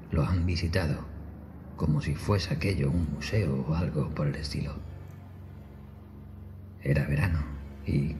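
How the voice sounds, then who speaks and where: A man narrating a scripted first-person horror story as a storyteller. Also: a bass voice.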